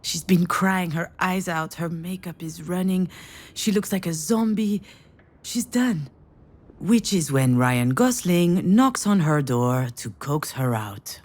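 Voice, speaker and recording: A woman's voice: on the low side, expressive, slow, a little mellow. An actress voicing a sardonic, self-deprecating game heroine in her thirties, in a wry, conversational, confessional way. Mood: wry, weary. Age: 30s. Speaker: protagonist and narrator of a narrative game. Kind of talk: game voice acting, inner monologue and dialogue.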